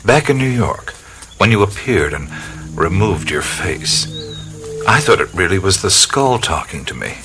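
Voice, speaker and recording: A wry, low-voiced adventurer. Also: male voice, sing-song, unhurried. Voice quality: dry, wry, laid-back. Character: wry laid-back adventurer hero, skeptical. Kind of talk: radio play acting with inner monologue. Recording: studio radio play with music score.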